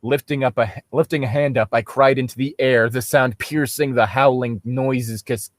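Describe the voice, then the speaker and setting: A man's voice: mid-range voice, steady tone, moderate pace, no echo. A man casually reading a story aloud and picking it apart with sarcastic, sweary commentary as a co-host. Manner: sarcastic, nitpicking, swears freely. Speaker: co-host reading and mocking amateur fiction.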